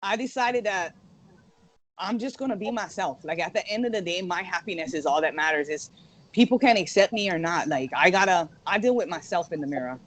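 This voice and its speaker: A woman's voice: lowish voice, fairly quick. Laughing young woman from Hawaii. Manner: laughs often, says "like" a lot. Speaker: guest. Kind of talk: casual interview chat.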